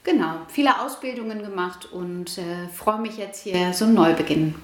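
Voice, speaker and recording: An Iranian-born woman in her forties speaks at a middling pitch, measured and reflective, often pausing and restarting her sentences. The recording has a roomy echo around her voice. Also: a little mellow, slight background noise. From Iran. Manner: thoughtful, many fillers and restarts. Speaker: interviewee, housing project resident. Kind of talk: casual interview answers.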